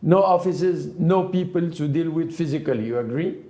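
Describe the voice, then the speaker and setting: A man conducting an interview, probing and quick to push back or cut in. His voice is slightly higher than most and mellow in tone. The room leaves a noticeable echo on it. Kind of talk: studio interview. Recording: roomy echo.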